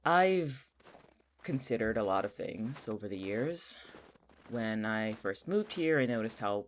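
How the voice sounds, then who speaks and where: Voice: medium pitch, expressive, measured pace, close to an everyday voice. An actor playing a cynical, blunt, sweary young college student in a naturalistic audio drama. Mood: reflective. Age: young adult. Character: cynical, sardonic young community college student in a strange town.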